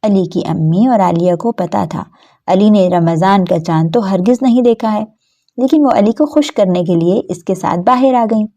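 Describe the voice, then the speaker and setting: A woman's voice: medium pitch, dark tone, dull audio. A woman storyteller reading scripted narration of a story for children.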